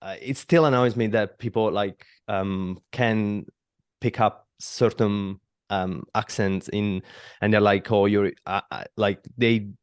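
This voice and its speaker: A man from Italy with an Italian accent, hosting a podcast interview, speaking haltingly in English with many restarts and fillers. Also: on the low side, fairly even intonation, clear, resonant tone, no room sound, clean studio sound. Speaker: podcast host. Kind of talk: casual interview conversation.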